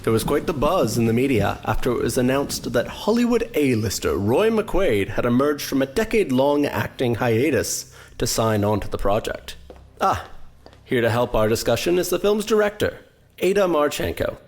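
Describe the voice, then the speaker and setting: Man playing a theatrical, animated stage host. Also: mid-range voice. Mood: theatrical, intriguing. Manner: dramatic, deliberate, rhetorical. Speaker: narrator and on-stage interviewer. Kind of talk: scripted stage narration.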